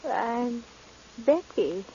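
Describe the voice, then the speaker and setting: A wistful old woman in a 1940s radio drama, pining for her lost love. Her voice is high and very slow, halting and dreamy. The old recording is dull, with music playing behind her. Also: animated, dark tone, slight background noise, exaggerated voice. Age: older.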